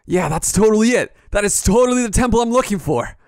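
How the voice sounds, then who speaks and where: A man playing an excitable, naive young adventurer, stammering and talking to himself as he goes through an amateur adventure story. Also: high-pitched, fast talker, dry recording, clean studio sound. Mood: curious, wary. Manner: talks to himself, stammering, breathlessly reactive.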